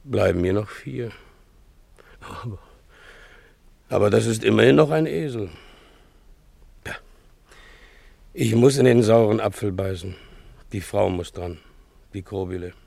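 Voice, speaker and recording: An actor playing a poor, rough donkey driver in a radio play, servile and plaintive, with a gravelly, hesitant delivery. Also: male voice, fairly low pitch, measured pace, long pauses, mellow, no echo, exaggerated voice. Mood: gloomy, resigned. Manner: hesitant, stammering deference, plaintive muttering. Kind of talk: German radio play acting with first-person narration.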